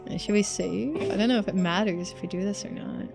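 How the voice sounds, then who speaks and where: A woman's voice: mid-range voice, fast, vocal fry. Casual woman streamer over game music. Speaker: live streamer playing a game. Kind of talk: casual gaming commentary and chat.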